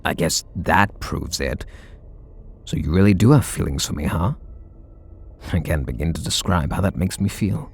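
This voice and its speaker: A man voicing a flustered, tender super villain in an ASMR roleplay, speaking softly and intimately. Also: moderate pace, long pauses, heavily acted. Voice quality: low gravelly husky voice. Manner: soft and intimate, bashful.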